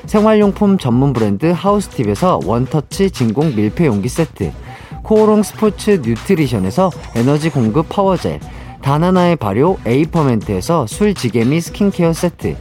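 A man's voice: fairly high pitch, very animated, normal speed, few pauses, darkish tone, faint music behind. A man hosting a radio show in a studio. He speaks in a friendly, polite and upbeat way as he reads out listener messages and greets his guests. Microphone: studio microphone.

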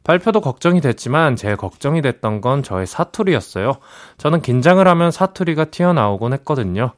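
A man reading listener stories on a radio show and chatting with the host in a calm, easy-going way. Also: mid-range voice, brisk.

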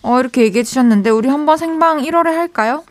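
A woman's voice: high-pitched, very fast, dry recording, studio quality. A woman hosting a radio show in casual, playful banter with a co-host. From South Korea, a dialect-speaking region.

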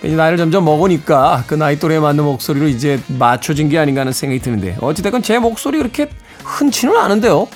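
A man's voice: fairly high pitch, big pitch swings, fast. A middle-aged man hosting a music radio show, mixing song introductions with casual anecdotes in a wry, self-teasing, conversational manner. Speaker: radio host and DJ.

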